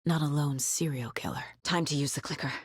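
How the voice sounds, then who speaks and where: Guarded woman investigator in a horror game, husky, terse and earnest, super dry close sound. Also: deep voice, a little fast, no room sound. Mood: serious, resolute. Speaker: video game voice actress. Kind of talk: naturalistic video game dialogue.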